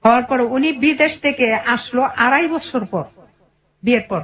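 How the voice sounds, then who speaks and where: A woman's voice: a little high, unhurried. A middle-aged woman with a colloquial Bangladeshi accent tells her life story informally, acting out what relatives said as she goes. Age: 40s. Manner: animated retelling with quoted family dialogue.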